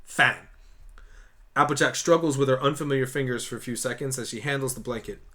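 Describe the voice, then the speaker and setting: A man's voice: mid-range voice, quick pace, a bit bright. A man reading scripted story narration for a fan audio drama.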